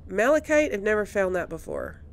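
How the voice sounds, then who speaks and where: A woman's voice: mid-range voice, wildly swooping pitch, brisk, vocal fry. A woman doing casual let's play commentary as a gaming host, musing and thinking aloud.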